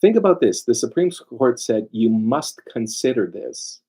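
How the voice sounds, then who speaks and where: A man's voice: mid-range voice, lively intonation, measured pace, mellow, husky. A man giving an informal lecture as a lawyer, emphatic and digressive, often throwing rhetorical questions at his listeners. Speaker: lawyer presenting a seminar.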